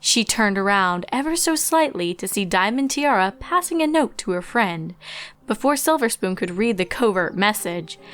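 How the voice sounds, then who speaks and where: Woman narrating a story over music. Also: mid-range voice. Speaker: fan fiction narrator. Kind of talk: expressive scripted story narration. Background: background music.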